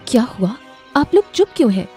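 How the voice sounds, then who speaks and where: Stranded worried mother, played by a woman, earnest and pleading, high mellow voice, children's story drama. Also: big pitch swings, fairly quick, soft background music. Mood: anxious, pleading.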